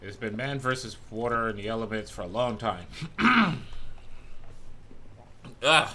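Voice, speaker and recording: A man's voice: medium pitch, expressive, measured pace, very noisy. A man streaming a game in a loose, rambling chat who swears freely, and who is losing his voice. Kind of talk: casual gameplay chat.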